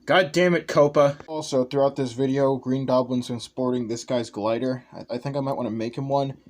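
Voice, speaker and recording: A man's voice: mid-range voice, steady tone, brisk, darkish tone. A man hosting a casual, unscripted review, rambling and hesitant, often trailing off. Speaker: toy reviewer, channel host. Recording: faint background noise.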